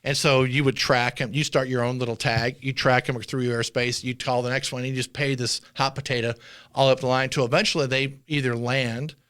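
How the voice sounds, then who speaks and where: A man with a medium-pitched voice talks casually, like a seasoned insider explaining the job. He slips into quoted exchanges as he goes. The sound is dry, with very little room in it. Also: level tone, brisk, fairly bright tone, smooth, no echo. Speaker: former air traffic controller, interview guest.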